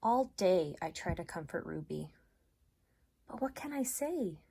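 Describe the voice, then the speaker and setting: A woman's voice: fairly low pitch, big pitch swings, measured pace, vocal fry, dark tone, breathy edge. A woman doing an informal read-aloud of a children's story.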